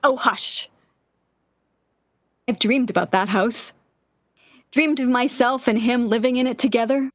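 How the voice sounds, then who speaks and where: Actress as a high-voiced, melodramatic lovesick young woman. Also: no echo, lightly acted. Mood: wistful, despairing. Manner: melodramatic, yearning, impassioned. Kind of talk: 1940s radio drama acting.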